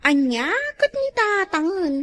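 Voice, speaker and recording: An actress with an Ilocano accent playing a casual, impatient youngster talking back to a parent in a comedy drama. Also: female voice, very high voice, very animated, measured pace, heavily acted.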